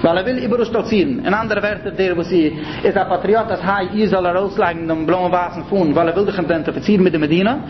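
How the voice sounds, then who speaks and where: A man's voice: sits high, fast talker, hardly any pauses. A man with a Yiddish accent giving an explanatory lecture in a didactic way, repeating and rephrasing his points. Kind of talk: explanatory religious-political lecture. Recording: muffled, noisy, echoey room recording.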